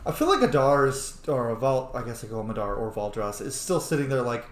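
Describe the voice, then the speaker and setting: A man's voice: medium pitch, sing-song, brisk. A man chatting casually as he plays a video game, swearing now and then. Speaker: gamer playing and commenting on a video game. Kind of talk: casual gameplay commentary, reading choices aloud. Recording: echoey room, faint background noise.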